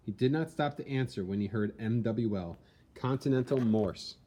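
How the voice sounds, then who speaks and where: A man reading a historical account as dramatic scripted narration, in a measured, theatrical storytelling manner. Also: mid-range voice, dark tone. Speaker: reader, narrator.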